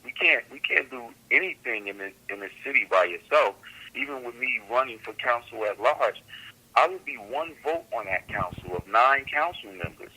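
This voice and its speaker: A man's voice: medium pitch, animated, normal speed, sharp tone, slightly rough, low-quality recording. A man, a council candidate guest on a radio show, speaking earnestly and repeating phrases for emphasis. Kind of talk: radio interview answer.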